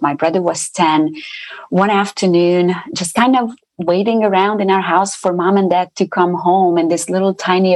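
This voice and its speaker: A woman from Romania giving an earnest faith testimony as an interview guest. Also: fairly low pitch. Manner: earnest, steady storytelling.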